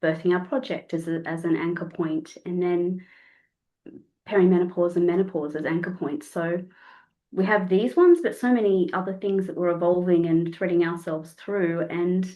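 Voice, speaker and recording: A woman in her forties with a slightly croaky voice, reflecting as a guest in a casual podcast conversation. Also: on the low side, steady tone, normal speed, lo-fi sound. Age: 40s. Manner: reflective, searching for words, repeats phrases. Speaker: guest, counsellor and somatic sexologist.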